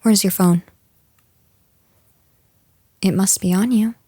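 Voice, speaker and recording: A woman performs a possessive yandere girlfriend in an intimate audio drama. She takes her time, taunting and condescending, with a menacing edge under the pet names. Her medium-pitched voice sits in a very dry, close recording. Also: steady tone, dark tone, no echo. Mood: quietly menacing. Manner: slow, taunting, condescending pet names, slipping into breathy whispers. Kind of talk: roleplay audio drama, intimate address to listener.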